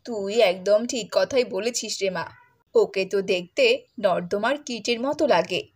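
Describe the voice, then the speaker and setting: A woman's voice: medium pitch, a little slow, a little mellow, lightly acted. A woman voicing a middle-aged stepmother in a cartoon, scornful and scolding, gushing when she dotes. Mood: doting, smug. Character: cruel middle-aged stepmother, doting on her own daughter, scornful. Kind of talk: Bengali cartoon dubbing.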